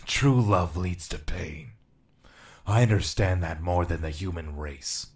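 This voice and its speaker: A very low-voiced, brooding figure who stands apart from humankind, played by a man. He is blunt, probing and world-weary, in an audio drama. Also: slow. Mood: weary, cynical.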